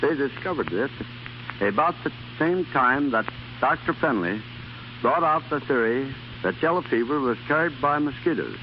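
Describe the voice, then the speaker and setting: A man's voice: mid-range voice, lively intonation, normal speed. A middle-aged man, earnest and plain-spoken, giving stiffly read answers as a guest in a scripted 1930s radio interview. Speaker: interview guest, former army private. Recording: dull, noisy, humming 1930s radio recording.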